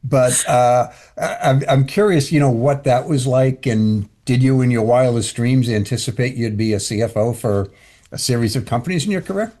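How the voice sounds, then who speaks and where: A man's voice: medium pitch, moderate pace, dark tone. A man hosting an interview podcast for finance executives, talking in a chatty way that often stumbles and restarts. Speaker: podcast host.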